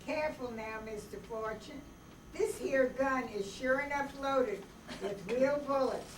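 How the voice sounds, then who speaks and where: A woman's voice: high voice, measured pace. A woman playing a polite, lovesick gunman with folksy phrasing in an old-time radio drama. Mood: polite menace. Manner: drawling folksy grammar, courteous while threatening. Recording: roomy, noisy, distant microphone stage recording.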